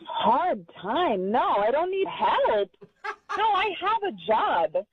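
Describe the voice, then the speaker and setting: A woman's voice: high-pitched, sing-song, slow, slight background noise. A woman arguing over the telephone in an indignant, confrontational manner. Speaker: phone-in guest. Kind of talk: argumentative phone conversation. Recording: dull band-limited phone line.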